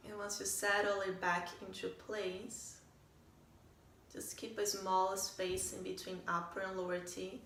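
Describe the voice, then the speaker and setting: A woman leading a guided meditation as a teacher, speaking in a calm, gentle way with unhurried phrasing and pauses. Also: mid-range voice. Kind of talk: guided meditation, soft instruction. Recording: roomy, distant mic, faint noise.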